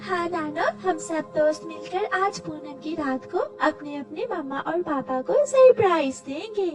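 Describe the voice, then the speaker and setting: A woman's voice: extremely high pitch, expressive, a little slow, pitched above the performer's own voice. A woman voicing a sweet little girl bird in a cartoon story, bubbly, childlike and eager. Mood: excited. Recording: distant mic with music behind.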